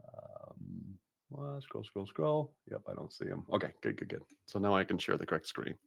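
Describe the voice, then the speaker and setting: A man's voice: sits low, lively intonation, normal speed, vocal fry, breathy edge, dry recording. A man from Massachusetts hosting a live webinar, chatty and upbeat, with lots of fillers and restarts. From Massachusetts, USA. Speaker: webinar host.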